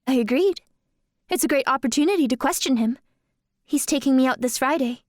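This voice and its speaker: A woman's voice: high voice, fairly quick, no echo, clean studio sound, natural voice. An actress playing a polite, coy young woman dancer with magical powers in an audio drama. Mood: matter-of-fact.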